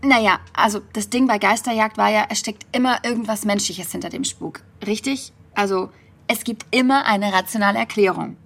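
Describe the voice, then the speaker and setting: An actress playing an earnest young podcaster, speaking in a casual, slightly hesitant storytelling style. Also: female voice, medium pitch, a little fast, vocal fry, fairly bright tone. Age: young adult. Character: earnest young female podcaster haunted by a childhood memory. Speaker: podcast host and narrator. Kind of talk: conversational first-person audio drama storytelling.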